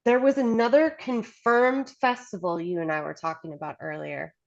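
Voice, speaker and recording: A woman's voice: mid-range voice, steady tone, moderate pace, muffled recording. Upbeat woman hosting an interview. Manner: upbeat, enthusiastic. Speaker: interviewer, host.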